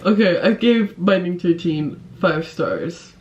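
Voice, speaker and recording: A woman's voice: fairly low pitch, expressive, slow, a little mellow, dull audio. Woman, book vlogger, casual chat to camera, effusive, giggly, informal delivery.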